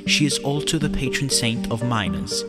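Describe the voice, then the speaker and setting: A man's voice: medium pitch, animated, moderate pace. A man giving scripted explanatory narration as an informational narrator. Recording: long reverb under loud guitar music. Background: guitar music.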